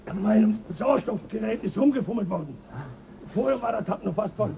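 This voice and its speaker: Gravelly paranoid crewman, crude and sneering, radio play, slight noise hiss. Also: high voice, animated, fairly quick, mellow. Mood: paranoid, defiant. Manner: mocking, suspicious, crude.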